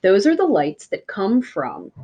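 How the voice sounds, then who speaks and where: A woman reading a picture book aloud like a teacher, with deliberate, emphatic phrasing for young listeners. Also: mid-range voice, huge pitch swings, dark tone. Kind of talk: children's read-aloud.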